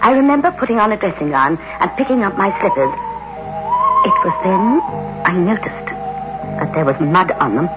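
A woman's voice: medium pitch, very animated, normal speed, pauses often, over loud music, lightly acted. A woman acting an anxious, haunted innkeeper's wife in a dramatic, fretful, old radio drama style. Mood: brooding, haunted. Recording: noisy, muffled old radio recording.

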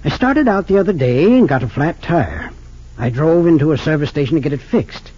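An actor playing a wealthy, suspicious father of sixty-eight in an old radio drama, earnest and slightly halting. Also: on the high side, expressive, darkish tone, slightly rough. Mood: bitter, suspicious. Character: wealthy, suspicious 68-year-old father, bitter about his sons. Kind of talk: 1940s-50s radio drama acting. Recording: dull lo-fi old radio recording.

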